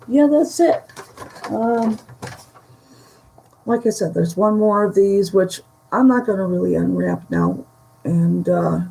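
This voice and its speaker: A woman from the Buffalo area with a Western New York Great Lakes accent, chatting slowly with many pauses on a live stream from home. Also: mid-range voice, darkish tone, poor audio. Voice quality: gravelly. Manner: slow, halting, many fillers. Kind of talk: casual live stream chat. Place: home room.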